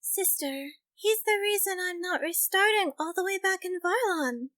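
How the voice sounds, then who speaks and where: Woman voicing a very high-pitched young adventurer girl. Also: expressive, normal speed, a bit bright. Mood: insistent. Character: impulsive, loyal young girl adventurer with a tail. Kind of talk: audiobook drama character acting.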